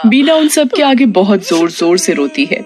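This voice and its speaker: A woman's voice: medium pitch, sing-song, normal speed, over a noisy crowd. A woman reading a scripted horror story narration for a cartoon tale.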